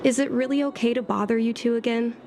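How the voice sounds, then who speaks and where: A woman's voice: a little high, steady tone, fast, background noise, close to an everyday voice. A woman voicing an easygoing, wistful young woman in casual, conversational video game dialogue. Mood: friendly, hopeful. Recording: music playing behind the voice.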